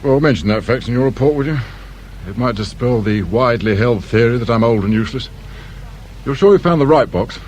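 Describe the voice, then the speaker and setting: An actor playing a gruff, world-weary older police inspector with a British accent, speaking drily and sardonically in radio drama style. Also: male voice, medium pitch, animated, fairly quick, a little mellow, lightly acted. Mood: dry, grumbling. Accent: British English. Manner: dry, grumbling, clipped questioning. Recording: hissy old radio drama recording.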